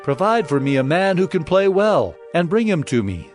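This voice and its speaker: A man's voice: a little high, big pitch swings, normal speed, darkish tone. An actor playing a commanding, imperious male king with formal speech in a dramatized Bible story. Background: music.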